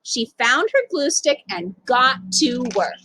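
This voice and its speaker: A woman reading a children's story aloud as an expressive storytime narrator. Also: high voice, slow pace, husky, dry recording, rough recording. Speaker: storytime reader.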